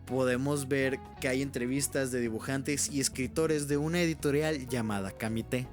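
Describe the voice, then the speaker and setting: A man narrates an informal video essay in a fairly high voice. The delivery is scripted but candid, openly admitting gaps, with faint music playing behind. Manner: candid, openly unsure of facts.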